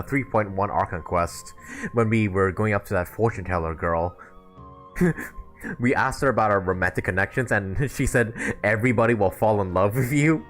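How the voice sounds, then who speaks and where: A man doing casual live commentary on a video game, sweary and amused, often giggling. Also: on the low side. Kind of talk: casual livestream gaming commentary.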